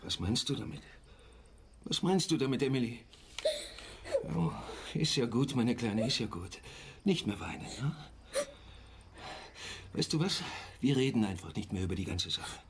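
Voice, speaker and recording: A man playing a gentle, evasive father and husband in a naturalistic radio drama, soft and hesitant. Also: mid-range voice, animated, normal speed, long pauses, noisy background, lightly acted. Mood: soothing.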